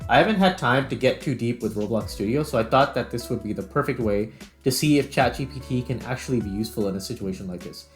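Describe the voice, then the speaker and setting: A man's voice: mid-range voice, animated, fast. Man casually narrating a game-making tutorial, music behind. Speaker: game developer hosting a tutorial series.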